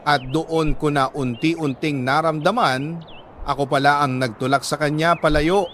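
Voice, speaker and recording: A man with a Filipino accent reading a listener's letter as a radio drama host, in a sorrowful, deliberate storytelling manner with dramatic pauses. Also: a little high, steady tone, fairly bright tone, slight background noise.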